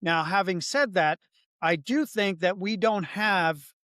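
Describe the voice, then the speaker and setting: A middle-aged man co-hosting a political podcast, talking in a measured, thoughtful way with frequent restarts. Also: high-pitched, a bit bright, no room sound, clean studio sound. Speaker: podcast co-host. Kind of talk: political commentary.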